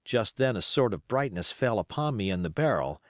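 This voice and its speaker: A man reading an adventure story aloud as an expressive audiobook narrator. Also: fairly low pitch, normal speed, dry recording, studio quality. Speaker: audiobook reader.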